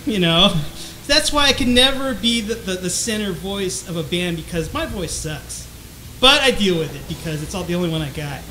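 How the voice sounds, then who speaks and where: A man's voice: high voice, expressive, normal speed, sharp tone, a little hiss or hum, poor audio. A man co-hosting a band podcast in casual chat, with a light, trebly voice and a hesitant, self-deprecating way of talking full of restarts. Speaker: podcast co-host, bass player.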